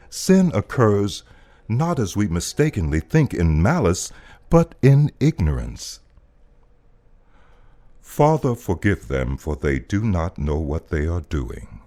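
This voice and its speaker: A man narrating a spiritual text as a poetic reading, solemn and deliberate, with emphatic phrasing. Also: deep voice, warm tone. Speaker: voice-over artist, narrator.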